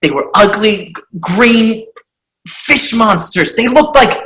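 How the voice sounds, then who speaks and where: A woman voicing a gloomy, deadpan teenage boy at a table read. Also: medium pitch, low-quality recording. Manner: slow, deadpan.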